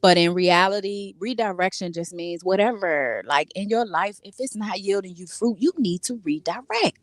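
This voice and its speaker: A woman's voice: medium pitch, normal speed, no echo, studio quality. A woman, a trucker's wife and co-host, talking earnestly and encouragingly in casual chat.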